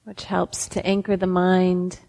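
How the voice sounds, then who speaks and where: Female meditation teacher, slow gentle guidance with long pauses, echoing hall acoustic. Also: lowish voice, completely flat delivery, gravelly. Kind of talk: guided meditation. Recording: reverberant hall.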